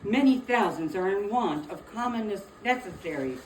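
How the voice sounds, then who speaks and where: An earnest Victorian charity collector played by a woman, mid-pitched and very expressive, with formal phrasing. The dramatic reading is picked up from afar in an echoey room. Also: close to an everyday voice. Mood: earnest appeal. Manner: formal, measured appeal. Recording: distant echoey room, faint noise.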